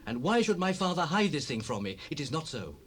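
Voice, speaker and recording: Loyal young prince, biblical audio drama: solemn, reassuring declamation, lively pitch movement, light tape hiss. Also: male voice, fairly quick. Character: young prince Jonathan, loyal, devoted friend. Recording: noisy cassette rip, distant ambience.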